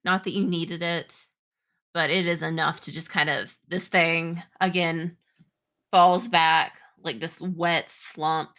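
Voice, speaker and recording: Woman, game master, bright forward voice, lowish pitch, informal tabletop narration. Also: fairly even intonation, slow. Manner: informal phrasing full of "like".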